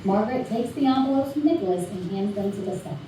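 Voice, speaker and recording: A woman's voice: medium pitch, expressive, fast, dark tone. A woman reading stage directions as scripted narration. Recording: very echoey room, distant, faint noise.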